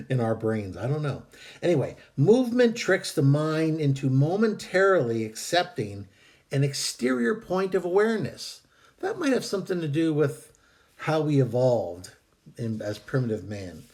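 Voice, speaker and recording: A man hosting a video, calmly reading out and explaining a meditation technique with some hesitant fillers, while fighting a cold that is costing him his voice. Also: mid-range voice. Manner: calm, measured, with hesitant fillers. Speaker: host.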